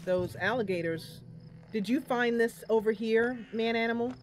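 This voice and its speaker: A woman's voice: a little high, normal speed, slight background noise, rough recording. A woman giving casual gameplay commentary, chatting to viewers and thinking aloud as she goes. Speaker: gaming channel host. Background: game music.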